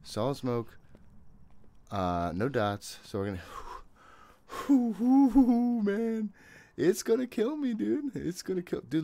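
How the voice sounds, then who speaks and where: A man's voice: high voice, a little mellow, dry recording. A man in his thirties doing casual live commentary on a horror game, talking to himself in a jittery way and swearing often. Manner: jittery self-talk with frequent swearing. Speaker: gaming streamer. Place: small room at home, indoors.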